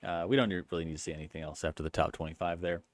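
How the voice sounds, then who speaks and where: A man hosting a golf channel, giving a casual rambling recap in a flat, low-energy monotone full of fillers and restarts. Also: very fast, no room sound.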